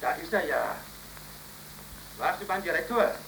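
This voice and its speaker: A man's voice: a little high, big pitch swings, unhurried, creaky, fairly bright tone, exaggerated voice. An actor playing a stern, bullying working-class father who scolds and commands, in a 1930s radio drama. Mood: furious. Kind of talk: 1930s German radio play acting. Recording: noisy, roomy 1930s radio recording.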